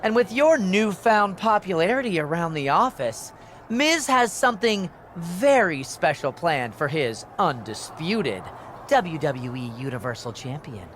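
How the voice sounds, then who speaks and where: A man's voice: on the high side, very animated, a little slow, a bit bright, a little hiss or hum. A man playing an eager, chipper wrestling show producer in a video game, emphatic and keen to please. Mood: upbeat. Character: eager, chirpy male TV wrestling producer, upbeat company man.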